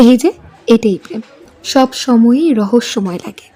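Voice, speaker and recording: A woman's voice: a little high, expressive, slow pace, a little mellow. An actress playing a teasing, romantic young female friend in an audio drama. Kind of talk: audio story drama acting.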